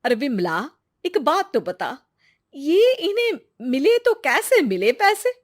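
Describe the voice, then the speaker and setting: A woman's voice: high-pitched, sing-song, slow, exaggerated voice. A woman playing a curious, chatty, helpful village woman with an inquisitive, colloquial manner, in a cartoon moral story. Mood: probing.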